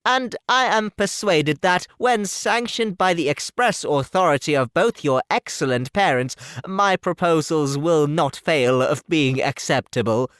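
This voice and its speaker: A man voicing a pompous, awkward young clergyman who speaks slowly and ponderously. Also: on the high side, a bit bright, clean tone, no echo, studio quality, voice pushed up. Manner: slow and ponderously formal.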